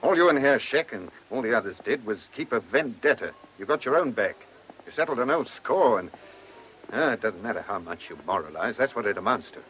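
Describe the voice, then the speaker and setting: A man playing a principled, stern chief inspector in a radio play, with a terse, morally forceful delivery. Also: mid-range voice, lively intonation, fairly quick, natural voice. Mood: indignant. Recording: noisy old radio drama recording.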